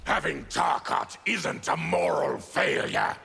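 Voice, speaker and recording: An actor playing a fierce, proud, embittered mutant warrior in a fighting game, growling and deliberate. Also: male voice, mid-range voice, huge pitch swings, sharp tone, soft background music, exaggerated voice. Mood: bitter, proud. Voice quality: gravelly, growling. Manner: menacing, deliberate. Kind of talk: fighting game character dialogue.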